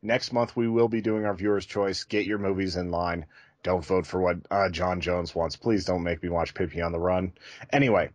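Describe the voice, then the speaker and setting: Low-voiced man, close dry sound. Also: steady tone, moderate pace, dry recording. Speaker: podcast co-host. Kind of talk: casual podcast chat.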